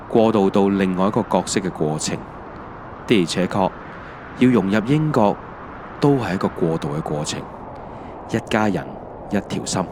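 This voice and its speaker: A man's voice: on the low side, noisy background. A man with a Hong Kong accent, narrating a scripted autobiographical audio drama in a reflective, wry storytelling manner. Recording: music under the voice. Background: background music.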